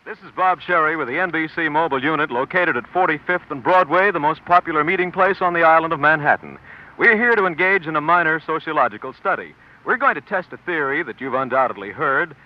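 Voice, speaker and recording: A man's voice: a little high, expressive, fast. An American man reporting live for 1940s radio from a city street, formally questioning passersby. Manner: formal, clearly announced, repeats answers. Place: outdoors on a busy city street. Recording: dull, noisy vintage outdoor radio broadcast.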